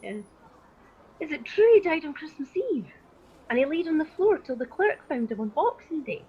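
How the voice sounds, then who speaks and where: A woman acts out a scornful gossip in a radio play. Her fairly high voice is very lively, on a dull, lo-fi call recording. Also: normal speed. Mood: gossipy, scornful. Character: gossiping, scornful acquaintance of a dead miser. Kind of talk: amateur radio play acting. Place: at home, recorded over video call. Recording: dull video-call sound, faint noise.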